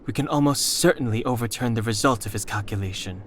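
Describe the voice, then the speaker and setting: Game role: level-headed young strategist, played by a man, measured speech, dry manner, medium register. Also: mid-range voice, a bit bright, slight background noise, close to an everyday voice. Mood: calm, reasoning. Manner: measured, matter-of-fact, dry. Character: cool, analytical, composed young man. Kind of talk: video game voice acting.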